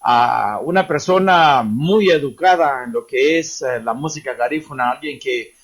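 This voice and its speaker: A man's voice: medium pitch, unhurried, a bit bright, breathy edge. A man hosting an online language class and interviewing a guest, formal and respectful, with frequent hesitations.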